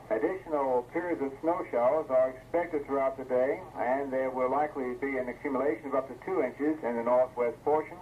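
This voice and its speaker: A man's voice: mid-range voice, slight background noise. Muffled 1980s weather radio man. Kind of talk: scripted weather forecast reading.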